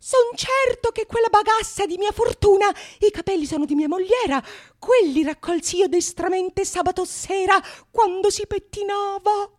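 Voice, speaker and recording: Foolish husband, shrill, very high pitch, agitated, theatrical Renaissance comedy. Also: female voice, normal speed, few pauses, a little mellow. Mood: indignant, agitated. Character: foolish, gullible husband, whining in high protest.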